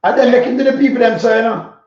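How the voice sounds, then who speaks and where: A man, cutting in with loud, emphatic remarks during a live-stream chat. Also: very high-pitched, very fast, roomy sound, muffled recording.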